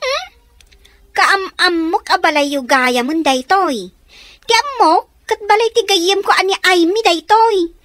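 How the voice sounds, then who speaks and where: Spoiled young daughter, extremely high voice, whiny, melodramatic radio acting, dry booth sound. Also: female voice, a little slow, fairly bright tone, no echo, faint music behind. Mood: indignant. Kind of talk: Ilocano radio drama acting.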